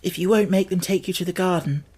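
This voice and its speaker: Woman voicing a breathless, high-pitched young girl. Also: quick pace, a little mellow, breathy edge. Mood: pleading. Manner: breathless, tumbling words. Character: young girl, earnest, excitable, pleading.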